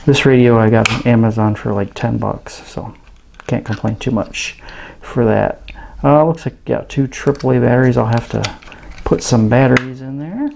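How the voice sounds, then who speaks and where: A man giving a casual product review in a halting, understated way. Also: medium pitch, creaky, background noise. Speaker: radio reviewer. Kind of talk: casual unboxing review narration.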